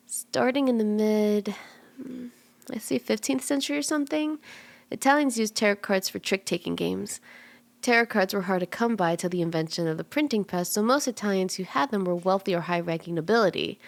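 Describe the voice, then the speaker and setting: Soft, dry, close-miked kitsune mystic played by a woman. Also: medium pitch, no room sound, close to an everyday voice. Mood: curious, teasing. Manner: gentle, playful, unhurried, slips into whispers. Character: cute, friendly kitsune fox-woman mystic and tarot reader. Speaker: ASMR roleplay voice actor. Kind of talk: ASMR roleplay, soft-spoken and often whispered. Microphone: close ASMR microphone in a dry space.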